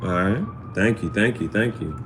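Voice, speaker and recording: A man's voice: sits low, normal speed, over music. A man hosting a casual live tarot reading, chatting to viewers in slangy speech and often repeating his phrases. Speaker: tarot reader, livestream host.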